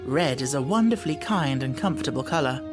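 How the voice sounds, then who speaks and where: A woman's voice: extremely low pitch, lively intonation, fast talker, over loud music. A woman reading a children's novel as scripted audiobook narration.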